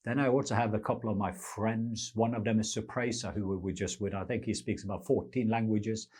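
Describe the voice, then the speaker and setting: A middle-aged man with a Norwegian accent speaks slowly and earnestly, as if teaching a congregation. His voice sits low and has a husky, breathy edge. His English carries the slightly unusual phrasing of a second language. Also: steady tone, darkish tone. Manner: earnest, slow and deliberate, with non-native phrasing. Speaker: preacher and podcast host. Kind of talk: unscripted teaching monologue.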